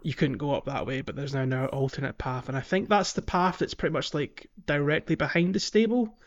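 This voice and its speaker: A man's voice: fairly high pitch, fairly quick, highs cut off. A man hosting a gaming podcast in casual conversation, explaining things in a hesitant, rambling way. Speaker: podcast host.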